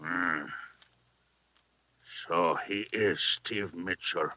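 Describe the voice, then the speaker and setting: Lowish male voice, menacing radio villain, extremely hard-edged, slow, brusque, threatening. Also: bright, forceful tone, no room sound, full character voice. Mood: curt, demanding. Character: menacing, curt stranger demanding the hotel register. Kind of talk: 1950s radio acting.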